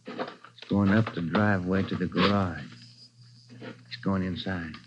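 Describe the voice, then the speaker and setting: An actor playing an easygoing police sergeant partner who talks casually, on an old radio drama recording. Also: male voice, sits low, fairly even intonation, measured pace. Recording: dull old radio broadcast with noise.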